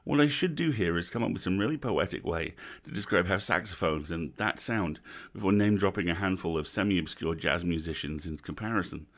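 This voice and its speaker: Deep-voiced British man, wryly reading aloud. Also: a little fast. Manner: wry, dry humour. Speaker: host of a livestream reading series. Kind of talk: reading a written review aloud with casual asides.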